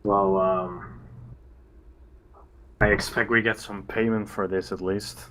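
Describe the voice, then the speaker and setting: Really deep voiced guy chatting as a tabletop player, lots of noise on it. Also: flat delivery, slow pace, a bit bright. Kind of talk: casual tabletop game chat. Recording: very noisy voice recording.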